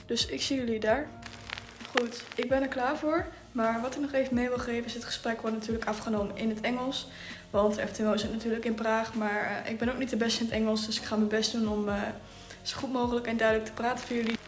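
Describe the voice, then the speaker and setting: High-voiced young Dutch woman, music behind. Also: level tone, fast talker. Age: young adult. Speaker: YouTube host and trader. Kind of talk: casual video chat.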